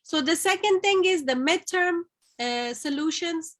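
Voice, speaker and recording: High-voiced woman making emphatic points. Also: expressive, measured pace. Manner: emphatic, rhetorical questions. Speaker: panelist. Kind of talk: panel discussion.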